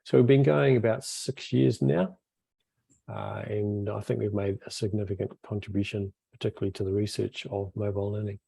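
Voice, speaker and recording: Gravelly low-voiced man on a video call. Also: fairly quick, dry recording. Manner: relaxed, rambling with many fillers. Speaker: group convenor, academic. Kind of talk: casual video call introduction.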